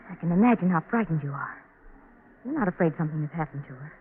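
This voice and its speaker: A bitter, ambitious young schemer, cutting and impatient with a swooping delivery. It is 1940s radio drama with a thin, tinny sound. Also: female voice, low voice, expressive, quick pace, husky. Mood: bitter, scheming. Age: young adult.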